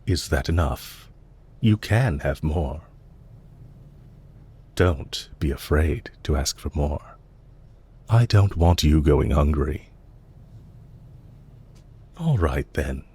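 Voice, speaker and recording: A man playing a courteous, calm villain leader in an audio roleplay, speaking in a polite, measured, formal and softly commanding way. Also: extremely low pitch, breathy edge, heavily acted. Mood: solicitous, gentle. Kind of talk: scripted roleplay audio drama.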